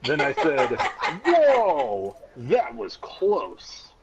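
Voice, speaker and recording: A man's voice: high-pitched, huge pitch swings, drawn-out words, over a noisy crowd. A man reading a story aloud in a mock-serious, dramatic way, often close to breaking into laughter. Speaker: reader of a childhood story. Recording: dull lo-fi sound, clear background noise.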